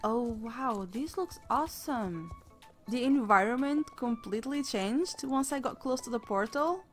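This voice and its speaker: A woman hosting a game video in casual commentary, slightly hoarse as she is losing her voice, excitable about what she finds. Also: a little high, unhurried, soft background music. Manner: excitable, gasps at new sights. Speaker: gaming channel host.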